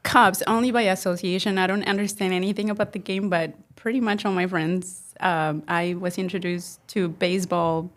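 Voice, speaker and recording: A woman's voice: on the low side, steady tone, normal speed, hardly any pauses. A woman from Mexico with a light Spanish accent, a guest on a podcast, chatting casually with frequent laughs and restarts. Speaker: guest entrepreneur. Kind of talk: casual interview chat. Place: podcast studio.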